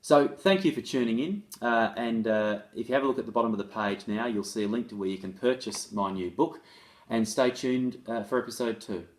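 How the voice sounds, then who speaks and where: A man's voice: mid-range voice, a little fast. A man presenting as an education author, reading a case study aloud and explaining it in a conversational, instructive way. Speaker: author and presenter on restorative practices in schools.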